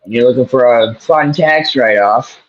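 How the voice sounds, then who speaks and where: A man co-hosting a casual sports talk show, chatting in an animated, joking way. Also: mid-range voice, moderate pace, dull audio. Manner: animated, slips into a mock New York accent. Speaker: co-host.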